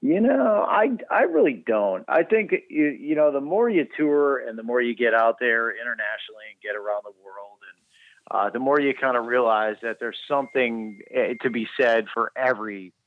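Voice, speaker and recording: A man's voice: mid-range voice, moderate pace, dry recording. A man from Chicago, a touring musician, chats as a guest in an easygoing, upbeat manner. He comes through a tinny phone line with a narrow band. The sound is dry, with no room around it. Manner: relaxed and upbeat, many fillers. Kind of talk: casual phone interview. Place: on a phone call.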